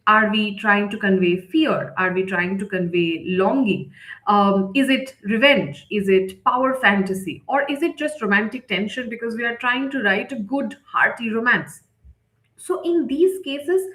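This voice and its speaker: A woman's voice: on the high side, a bit bright. A woman editor leading a live instructional session, explaining step by step and often checking in with her listeners. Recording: roomy, echoing sound.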